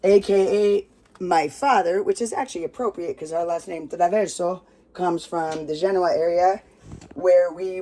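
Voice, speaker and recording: An American storyteller telling a family tale with props in a loud, rambling, casual way, swearing freely. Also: mid-range voice, rough recording. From United States.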